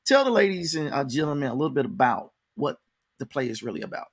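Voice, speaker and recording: A man's voice: medium pitch, sing-song, quick pace. A man hosting a conversational interview, informally addressing his audience like an announcer. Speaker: interview host and promoter. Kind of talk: conversational radio-style interview.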